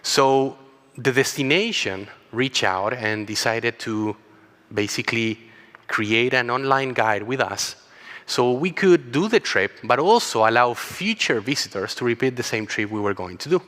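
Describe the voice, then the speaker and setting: A man's voice: medium pitch, lively intonation, a little slow, a bit bright. Chilean man speaking in a ringing hall. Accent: Chilean Spanish. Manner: earnest, storytelling, with repeated words and restarts. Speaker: keynote speaker. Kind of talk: personal story talk. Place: large conference hall. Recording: long hall reverb.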